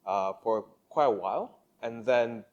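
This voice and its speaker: A young man from the Philippines hosting a podcast, speaking in a measured, hesitant way with many fillers. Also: mid-range voice, muffled recording. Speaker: podcast host. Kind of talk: casual interview conversation.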